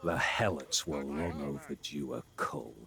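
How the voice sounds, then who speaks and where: An actor playing a contemptuous, hard-hearted Spartan warrior in a historical video game, with a disdainful, sneering delivery. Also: male voice, a bass voice, a little slow. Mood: cold contempt.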